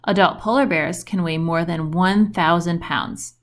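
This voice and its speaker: A woman reading a children's book aloud in an expressive storytelling style with questioning intonation. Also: lowish voice, unhurried.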